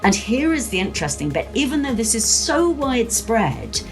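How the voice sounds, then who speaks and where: A woman's voice: a little high, sing-song, moderate pace, music in the background. A woman in her late fifties or older, giving a reflective personal account as an interviewee. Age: late 50s or older. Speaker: interviewee, career-changer teacher and former journalist.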